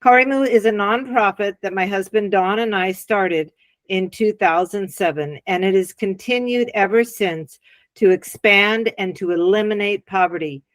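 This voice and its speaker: A woman's voice: mid-range voice, normal speed, no room sound. Encouraging woman interview host. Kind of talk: interview hosting.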